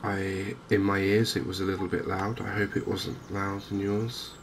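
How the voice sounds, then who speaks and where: A man giving softly spoken ASMR commentary on a game, hushed, hesitant and unhurried, close to the microphone. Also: deep voice, level tone. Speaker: gaming video host. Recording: clear background noise.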